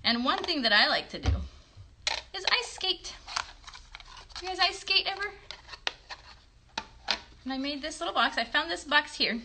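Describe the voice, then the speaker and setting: A woman's voice: sits high, lively intonation, unhurried, pauses often, background noise. A woman in her thirties casually hosting a children's craft demonstration, encouraging young viewers with questions. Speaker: children's puppet show host. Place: bedroom at home. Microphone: phone at about arm's length.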